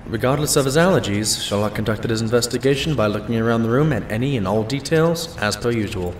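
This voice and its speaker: An actor playing a modest, loyal pony doctor who narrates and talks in an audio drama in an earnest, expressive way. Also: male voice, mid-range voice, fast, slight background noise, close to an everyday voice. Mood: reflective narration.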